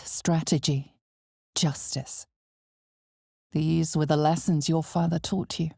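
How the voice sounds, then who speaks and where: A woman playing an ominous, knowing narrator of a dark fantasy game, speaking in a measured, theatrical way that turns hushed and breathy at times. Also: low-pitched, dry recording, clean studio sound, full character voice. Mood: ominous, solemn.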